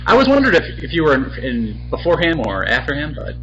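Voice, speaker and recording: A man's voice: fairly high pitch, huge pitch swings, fast, muffled recording, over music. A man co-hosting a casual podcast in a laid-back way, laughing often and giving short replies. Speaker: podcast co-host. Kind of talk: casual chat.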